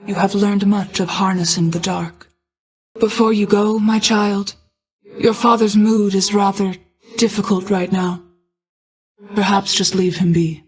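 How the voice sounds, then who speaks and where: Video game goddess of night, female actor: ageless, solemn, maternal, stately archaic diction, medium pitch. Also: steady tone, natural voice. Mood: calm, proud. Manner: formal, measured, stately, archaic phrasing. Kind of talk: scripted video game voice acting.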